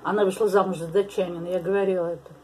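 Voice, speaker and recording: A woman's voice: fairly low pitch, level tone, racing, warm tone, slight background noise, rough recording. An older woman from Latvia, interviewed in a small living room and speaking frankly, with laughing asides, to a camera across the table. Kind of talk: casual interview.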